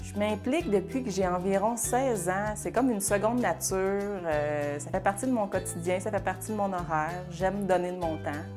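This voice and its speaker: A woman's voice: mid-range voice, normal speed, few pauses. A woman in her thirties with a Québécois accent, speaking earnestly as an award honoree, with frequent fillers. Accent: Québécois French. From Quebec. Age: 30s. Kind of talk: prepared testimonial. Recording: music bed behind the voice.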